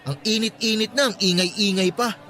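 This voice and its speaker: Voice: mid-range voice, moderate pace, sharp tone, faint music behind, a faint crowd, lightly acted. An actor playing a resentful, whining young son who talks back to his mother in a Tagalog radio drama. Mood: whining, defiant. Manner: complaining, talks back, sulky.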